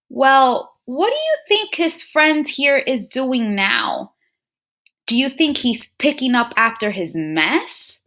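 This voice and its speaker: A woman with a fairly high, very animated voice reads a storybook aloud as a teacher would to small children. She takes her time, musing aloud and putting questions directly to her young listeners. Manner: expressive, addresses children directly with frequent questions and musing hums. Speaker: teacher reading a picture book aloud to young pupils.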